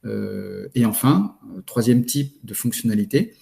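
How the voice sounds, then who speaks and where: A man presenting a webinar in a didactic, halting manner, laying out points one by one. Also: lowish voice, animated, gravelly, mellow. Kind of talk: explanatory webinar talk.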